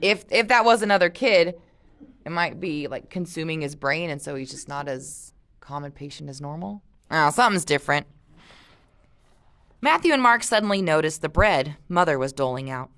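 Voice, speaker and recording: A woman reading aloud expressively as a teacher, pausing for casual discussion and guiding questions. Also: low voice, lively intonation, a bit bright. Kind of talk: audiobook-style read-aloud with casual discussion.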